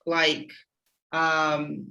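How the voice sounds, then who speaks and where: Brazilian woman, portuguese accent, middle-aged, bright voice, gropes for words. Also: sits low, level tone, drawn-out words, gravelly, muffled recording. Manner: warm, searching for words, restarts. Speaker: interviewer. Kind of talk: casual interview questions.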